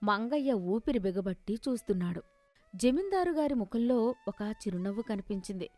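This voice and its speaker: A woman's voice: on the high side, expressive, moderate pace, dark tone, no room sound. A woman narrating a scripted moral story as a storyteller.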